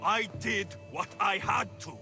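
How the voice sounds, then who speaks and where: A man's voice: high voice, slow, bright, forceful tone, faint music behind, heavily acted. A man playing a proud, defiant Greek mercenary hero in a video game, with a Greek accent and a blunt, sardonic manner. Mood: defiant, pleading. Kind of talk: dramatic video game cutscene acting.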